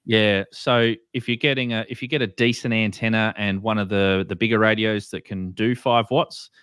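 Male host, 30s, Australian, low-pitched, casually instructive, recorded close and dry. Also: fairly even intonation, normal speed, fairly bright tone, clear, resonant tone, no room sound. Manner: informal, instructive. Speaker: host of a ham radio show. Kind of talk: casual explainer on a livestream. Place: small indoor room, video call.

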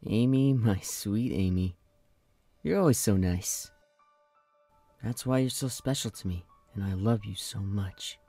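A woman voicing a young male cartoon hedgehog hero, cocky yet shy, expressive and easily flustered, in an amateur comic dub. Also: extremely low pitch, unhurried, faint music behind, exaggerated voice. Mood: tender. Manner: expressive, swinging from smug to flustered.

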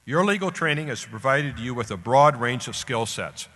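An older American man, around seventy, delivering a formal scripted commencement address at a podium microphone in deliberate, emphatic phrases. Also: mid-range voice, lively intonation, fairly bright tone, slightly rough. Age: older, about 70. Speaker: guest speaker at a graduation ceremony. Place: large indoor auditorium stage at a podium. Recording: echoey hall public-address sound.

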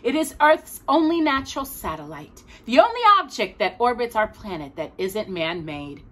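A middle-aged woman reading a children's book aloud expressively, with deliberate, dramatic phrasing, as a storytime host. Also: mid-range voice, very animated, a bit bright. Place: small room at home with bookshelves.

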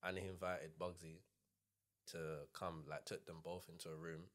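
A deep-voiced man talks casually on a podcast. He is blunt and slangy, speaking into a studio microphone. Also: level tone, moderate pace, fairly bright tone. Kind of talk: casual chat.

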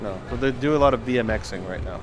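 A man's voice: medium pitch, fast, noisy background. A man chatting casually in a joking way. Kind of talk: casual chat. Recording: loud music behind the voice. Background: music.

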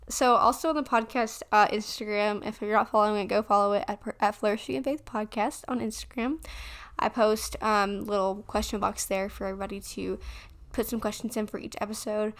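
An eighteen-year-old woman hosting a faith podcast in casual chat, talking quickly with lots of "like" fillers. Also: mid-range voice, steady tone, fairly bright tone. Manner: quick, chatty, with many "like" fillers. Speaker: podcast host. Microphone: desk condenser mic.

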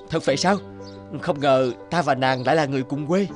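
A man's voice: mid-range voice, moderate pace, soft background music, lightly acted. A man playing an upright, kind young magistrate in a folk-tale cartoon, formal and righteous. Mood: kind, courteous. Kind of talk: cartoon voice acting.

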